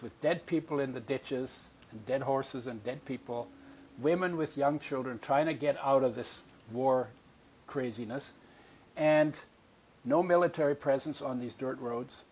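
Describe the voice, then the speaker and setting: Older man, animated storyteller. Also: mid-range voice, poor audio. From Poland. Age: 80s. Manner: animated storyteller, acts out remembered voices. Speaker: guest. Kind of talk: storytelling in a podcast interview.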